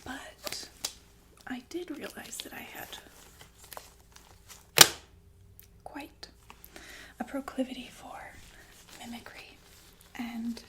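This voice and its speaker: Woman acting killer nurse, ASMR roleplay, high-pitched whisper, calmly menacing, sadistic, deliberate pauses, intimate. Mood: menacing confiding. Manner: slow, deliberate, intimate, with pensive pauses and hums. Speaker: ASMR performer.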